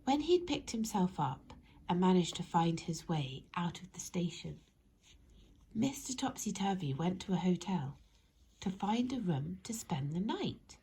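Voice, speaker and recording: A woman narrating a children's story expressively, in measured phrases that speak directly to young listeners. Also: lowish voice, big pitch swings, dark tone, slight background noise. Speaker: storybook reader.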